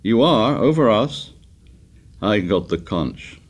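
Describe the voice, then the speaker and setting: A man voicing an anxious, stubborn boy in an audiobook, shrill and insistent, with uneducated grammar. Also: big pitch swings, takes it slowly, full character voice.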